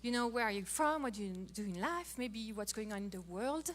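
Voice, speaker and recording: A middle-aged woman from France with a French accent, giving a playful, animated talk on marriage as a co-host. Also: fairly high pitch, brisk. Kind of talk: casual talk to an audience.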